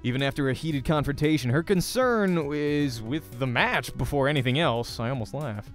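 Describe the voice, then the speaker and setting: A man's voice: mid-range voice, normal speed. A man hosting a let's play, informally reading visual novel narration aloud. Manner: informal, breaks off to comment. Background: background music.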